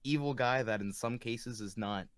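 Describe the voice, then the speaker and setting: A man's voice: mid-range voice, normal speed. A man talking casually as a voice actor, in a hesitant, thoughtful way. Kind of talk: casual chat.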